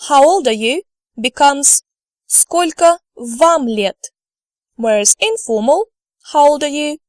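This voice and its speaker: A woman gives a slide lecture in a measured, unhurried way, modelling each word carefully so learners can repeat after her. Her voice sits fairly high. The recording is dry and close, with no room sound. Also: no room sound. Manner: slow, careful delivery, models words for repetition. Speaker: language teacher.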